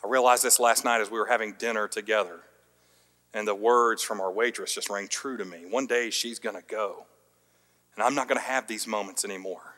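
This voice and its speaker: A man's voice: on the low side, steady tone, a little fast. Earnest preaching man, long hall reverb. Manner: earnest preaching with rising emphatic peaks and quiet dropped endings; light self-deprecating humor. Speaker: pastor. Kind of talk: sermon. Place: church sanctuary.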